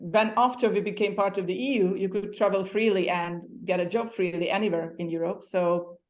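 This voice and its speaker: A woman's voice: mid-range voice, steady tone, brisk, darkish tone. A woman from Hungary with a Hungarian accent, a guest chatting casually in an interview, laughing often and restarting her sentences now and then.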